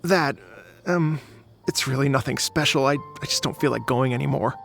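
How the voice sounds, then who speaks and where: An actor playing a chatty, slightly nervous young academy researcher with a casual, rambling manner in an anime game. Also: male voice, medium pitch, normal speed, creaky, fairly bright tone, faint music behind. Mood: evasive.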